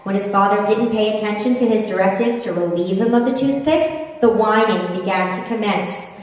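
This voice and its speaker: The recording is very reverberant, with a long hall tail and the microphone set well away from the speaker. A middle-aged woman with an American accent gives a live reading as an author. Her delivery is conversational and expressive, in the style of humorous storytelling, at a medium pitch. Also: moderate pace. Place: reading event in an echoey room. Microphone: distant microphone. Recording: very echoey room, distant microphone.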